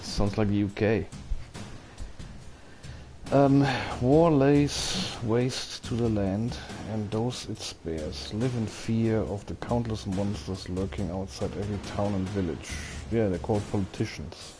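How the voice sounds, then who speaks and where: A man's voice: on the low side, a little slow, over music. A man giving casual let's play commentary, muttering wry asides and reading game text aloud. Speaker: gamer playing and commenting.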